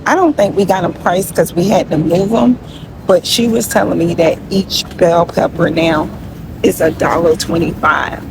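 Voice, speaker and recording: A woman's voice: fairly low pitch, moderate pace. A woman talking casually to her followers in an emphatic, folksy way, as if showing them around. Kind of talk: casual complaint vlog to followers. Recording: noisy ambient background.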